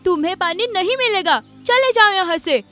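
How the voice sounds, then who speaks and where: Woman as a high-voiced, scolding cartoon fish. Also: lively intonation, moderate pace, bright, forceful tone, exaggerated voice. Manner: stern, dismissive. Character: intolerant, threatening female pond fish. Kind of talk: Hindi children's animated story acting.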